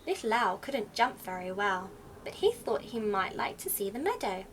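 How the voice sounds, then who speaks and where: A woman reading a children's storybook aloud as an expressive storyteller. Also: mid-range voice, normal speed. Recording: faint background noise.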